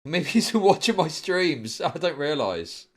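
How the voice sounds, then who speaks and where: A man's voice: fairly high pitch, unhurried. Rambling, sweary British man livestreaming. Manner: rambling, sweary, animated storytelling. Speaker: livestream host. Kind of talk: casual chat to a livestream audience.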